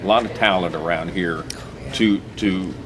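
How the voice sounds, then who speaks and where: A man hosting a local television talk show, chatting in a relaxed, rambling way with frequent "uh" restarts. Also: deep voice, normal speed, a crowd in the background. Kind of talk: casual interview chat.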